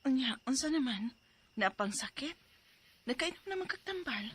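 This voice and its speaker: A woman's voice: high voice, sing-song, normal speed, over loud music. A woman acting an anxious, fussing middle-aged mother with a Cebuano accent in a radio drama, exclaiming as she goes. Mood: alarmed.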